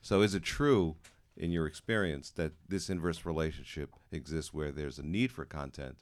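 A man with an extremely low voice asks the panel a long question from the audience. He stops and starts often and fills the gaps with ums and uhs. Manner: halting, with many fillers. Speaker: audience member asking a question.

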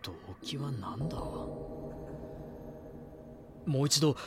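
A man's voice: mid-range voice, expressive, slow, heavy background noise. A man acting an earnest magazine reporter in an audio drama, thinking through a case. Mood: brooding, puzzled. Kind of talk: Japanese audio drama acting, brooding monologue and dialogue.

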